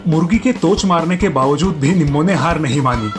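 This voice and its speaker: A man narrates a scripted children's tale as the storyteller. His voice sits a little high for a man. Light music runs behind him. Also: a little fast.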